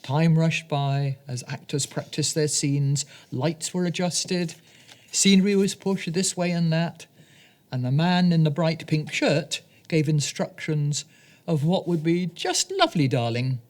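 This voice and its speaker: A woman storyteller reads a children's tale at a very unhurried pace. Her voice is low for a woman, and her delivery is gentle and deliberate. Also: lively intonation. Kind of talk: scripted children's story narration.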